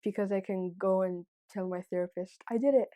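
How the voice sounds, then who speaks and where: A woman's voice: mid-range voice, steady tone, fairly quick, mellow, lo-fi sound. A woman who stutters, speaking earnestly in a documentary interview.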